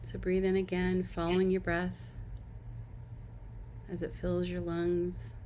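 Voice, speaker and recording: A woman leading a guided body-scan meditation as an instructor, giving gentle, unhurried instructions and soft invitations. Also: lowish voice, completely flat delivery, a little hiss or hum.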